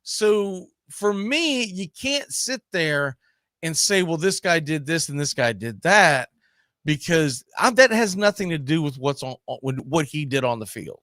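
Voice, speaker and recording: Animated high-pitched man debating sports. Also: unhurried, no echo, clean studio sound. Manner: emphatic, argumentative, uses rhetorical questions. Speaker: sports talk show host.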